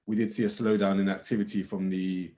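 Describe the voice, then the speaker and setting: Deep, very mellow man. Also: level tone, a little fast, slight background noise. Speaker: angel investor, panel guest. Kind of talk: prepared panel remarks.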